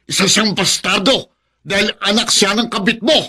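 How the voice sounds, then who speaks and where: A bitter young Filipino troublemaker in a radio drama. His voice sits high for a man and cuts sharp and bright. He taunts and challenges like a bully looking for a fight. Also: moderate pace, slightly rough, full character voice. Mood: bitter, angry. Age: young adult. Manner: taunting, confrontational.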